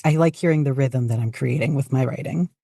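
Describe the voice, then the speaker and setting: A soft-edged, mellow voice recorded close and dry. The guest talks casually in an interview, choosing words in a measured, reflective way with a self-deprecating streak. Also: medium pitch, creaky, slightly rough, no echo. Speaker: podcast guest, fanfiction writer.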